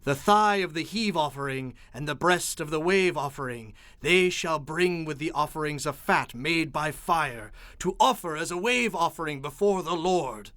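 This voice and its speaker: A man's voice: a little high, expressive, normal speed, few pauses, sharp tone, no echo. A man playing a stern, commanding prophet in a dramatized Bible audio production, speaking in a declamatory, authoritative way. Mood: solemn, commanding.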